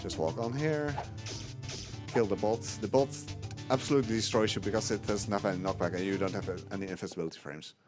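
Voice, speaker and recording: A man giving live speedrun commentary, relaxed and laughing often. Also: fairly low pitch, quick pace, music in the background.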